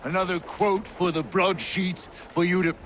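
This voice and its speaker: A man's voice: high voice, steady tone, moderate pace, a bit bright, slight background noise. An actor voicing a wary, sarcastic male doctor in a video game. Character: bitter slandered male town doctor.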